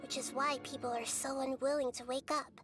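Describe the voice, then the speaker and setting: Wise little game goddess, played by a woman: high child's voice, soft, measured, gently explanatory, over music. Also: level tone, natural voice. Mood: thoughtful. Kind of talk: video game character acting.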